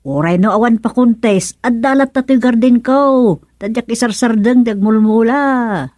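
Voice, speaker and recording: A woman's voice: a little high, a little slow, a little mellow, no room sound, clean studio sound, lightly acted. A woman playing an industrious, thrifty older village gardener in an Ilocano radio comedy drama, with an Ilocano accent. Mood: proud, homely.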